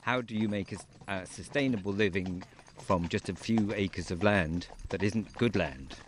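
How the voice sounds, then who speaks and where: A man's voice: low voice, normal speed. A man who grew up in Wales, talking about his farm in an easygoing way with dry humour during an outdoor interview. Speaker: interviewee, farmer and beekeeper. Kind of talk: relaxed outdoor interview chat. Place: outdoors on a farm, in a horse-drawn carriage. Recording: faint outdoor noise, geese nearby.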